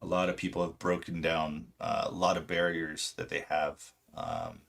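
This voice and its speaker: A man in his late thirties, from Poland and raised in California, chatting casually and unscripted on a livestream in a halting, self-deprecating, rambling way. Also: deep voice, little pitch movement, creaky, a bit bright. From Poland until age seven, then southern California. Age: late 30s. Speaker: livestream host, amateur retail trader.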